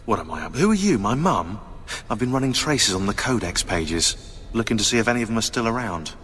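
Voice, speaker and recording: A man's voice: on the low side, big pitch swings, brisk, fairly bright tone, big-room sound. A man with a British accent playing a smug, eccentric researcher in a video game, sarcastic and chatty. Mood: intent. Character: sardonic, smug, eccentric British researcher in a secret assassin order. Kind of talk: naturalistic video game acting.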